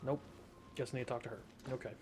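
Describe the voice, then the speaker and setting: A man's voice: medium pitch, very fast, over music. A man giving informal let's play commentary, thinking aloud as he goes. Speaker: gaming video host.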